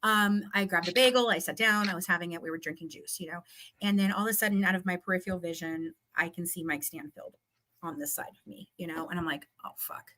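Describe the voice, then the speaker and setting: A woman's voice: lowish voice, quick pace, bright, forceful tone, low-quality recording. A woman telling a story casually and with animation, emphatic and given to swearing, acting out both sides of conversations. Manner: emphatic, swearing, re-enacts dialogue. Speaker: guest recounting a legal dispute.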